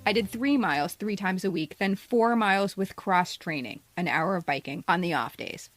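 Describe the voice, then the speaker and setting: A woman's voice: mid-range voice, normal speed. A woman in her fifties reading a scripted personal essay as a podcast co-host, with a candid, wry, self-deprecating manner. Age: 50s.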